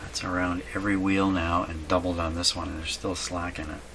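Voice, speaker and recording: A man giving unscripted, halting commentary as he thinks aloud while doing hands-on repair work. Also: low-pitched, level tone. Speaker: radio repair hobbyist narrating a workshop video. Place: indoor radio repair workshop. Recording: clearly noisy workshop recording.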